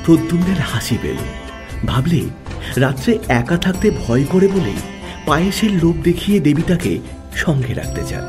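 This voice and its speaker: A man narrating a literary audio story in a dramatic, expressive style. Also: a little high, moderate pace. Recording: music under the voice.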